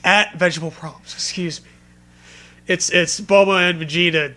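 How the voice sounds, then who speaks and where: High-pitched, sarcastic man. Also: fairly even intonation, moderate pace, bright, forceful tone. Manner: sarcastic, profane. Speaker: comedy show co-host. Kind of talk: mocking read-aloud of fan fiction with banter.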